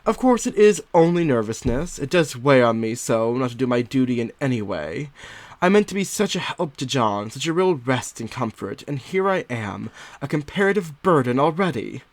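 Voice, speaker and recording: A man playing an anxious, confined young wife from the nineteenth century, reading her diary as a dramatic audiobook with fretful, drawn-out, theatrical delivery. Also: medium pitch, sing-song, dry recording. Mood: fretful, weary.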